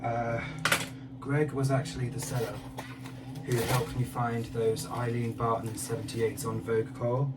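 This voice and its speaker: A man who collects records, presenting an unboxing in a casual, halting way with pauses between phrases. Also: mid-range voice, level tone. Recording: distant mic with clear background noise.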